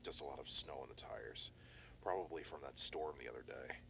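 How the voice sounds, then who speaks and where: A man voicing a steady private detective from an analog horror tape, his voice extremely low and his delivery quick but formal. He stays measured and reassuring, with faint noise under the recording. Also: vocal fry, heavily acted. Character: calm male private detective, steady, methodical. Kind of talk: analog horror audio drama.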